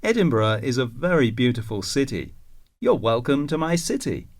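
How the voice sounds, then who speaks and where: A man reading scripted self-introductions for a listening exercise, slow and carefully enunciated. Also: mid-range voice, very animated.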